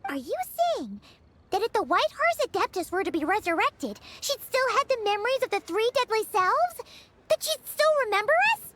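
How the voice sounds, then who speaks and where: Game role: tiny excitable fairy girl, squeaky and very high, animated, music underneath. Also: normal speed, noisy background. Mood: questioning. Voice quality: squeaky, childlike. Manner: refers to self in third person, eager. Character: tiny childlike female fairy companion, earnest and excitable. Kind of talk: video game character acting. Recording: loud music behind the voice.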